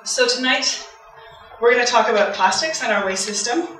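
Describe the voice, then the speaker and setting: A soft-voiced woman from Canada, a waste campaigner giving a public talk, chatty and eager. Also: fairly high pitch, animated, moderate pace, soft background music. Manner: chatty, eager, laughs often. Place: event hall. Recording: very echoey hall from far off.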